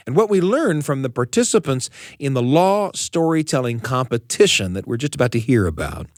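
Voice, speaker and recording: A man's voice: mid-range voice, no room sound, studio quality. A man hosting a storytelling show, narrating in a conversational, thoughtful and explanatory way with emphatic phrasing. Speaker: radio storytelling show host.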